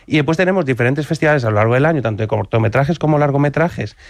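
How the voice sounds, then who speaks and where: Man from galicia, rapid, gravelly, dry close sound. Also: mid-range voice, no room sound. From Galicia, Spain. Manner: fast, detailed. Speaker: guest. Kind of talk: casual discussion.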